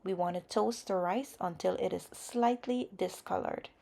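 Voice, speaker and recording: A woman's voice: mid-range voice, moderate pace, vocal fry, dark tone. A woman hosting a cooking video, explaining each step in an orderly, instructional voice-over. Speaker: cooking channel host.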